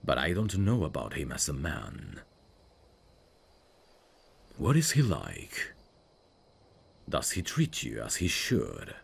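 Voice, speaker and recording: A man playing a brooding dark fantasy prince in an intimate ASMR roleplay, tender and lovesick, with a husky, gravelly voice and a hushed, formal delivery. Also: a bass voice, huge pitch swings, exaggerated voice. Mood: wistful, restrained. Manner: slow, hushed, formal and halting. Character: brooding evil prince, tender and lovesick toward old friend. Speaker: voice actor.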